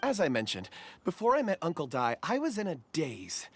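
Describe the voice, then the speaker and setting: Game NPC: polite gentle ore lover, animated pitch. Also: male voice, a little fast, slight background noise. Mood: earnest. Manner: soft-spoken, polite, earnest. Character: gentle young man who loves rocks, polite.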